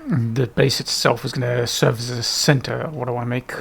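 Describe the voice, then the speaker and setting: A man's voice: mid-range voice, steady tone, brisk, creaky, fairly bright tone, a little hiss or hum. A man giving casual let's play commentary, muttering to himself in short remarks. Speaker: gaming video creator. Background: faint game music.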